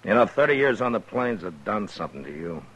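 Stern, husky, low-voiced lawman. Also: big pitch swings, brisk, a bit bright, heavily acted, poor audio. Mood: stern warning. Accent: American. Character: stern, steady frontier lawman, gravely warning. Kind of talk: 1950s radio western acting.

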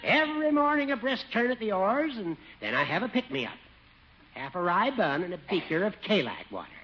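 An elderly, fussy judge who needles in an old radio comedy. The voice is high and very lively in pitch. The old recording sounds muffled. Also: male voice, brisk, background noise. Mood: smug. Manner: chuckling, finicky, needling. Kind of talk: 1950s radio sitcom acting.

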